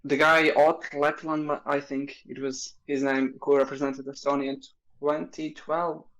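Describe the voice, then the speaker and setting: A man's voice: mid-range voice, little pitch movement, unhurried, a bit bright, rough recording. A man from Latvia co-hosting a podcast in casual chat, hesitant and often restarting his sentences. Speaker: podcast co-host.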